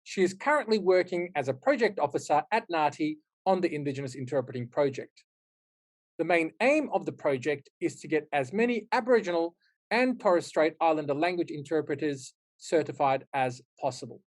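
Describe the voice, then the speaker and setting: A man's voice: fairly high pitch, lively intonation, a little fast. A man hosting a podcast interview, a native Turkish speaker who talks in English in a chatty way with frequent fillers. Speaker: podcast host. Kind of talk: casual interview chat.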